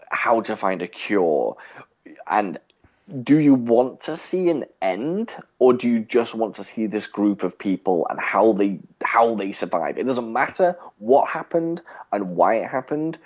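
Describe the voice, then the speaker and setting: Male podcast co-host, deep voice, polite and agreeable, feeling his way through casual discussion. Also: a little mellow.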